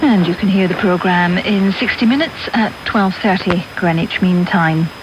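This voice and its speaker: A woman's voice: on the low side, normal speed. A woman radio announcer, reading scripted continuity announcements. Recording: dull, faintly noisy medium-wave radio recording.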